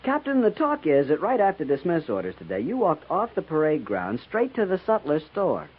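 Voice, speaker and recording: A man's voice: on the high side, huge pitch swings, moderate pace, breathy edge, full character voice. An American man acting an earnest, nosy, flustered junior cavalry lieutenant in a 1950s radio drama, hesitant and stammering. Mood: flustered, incredulous. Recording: dull 1950s radio recording.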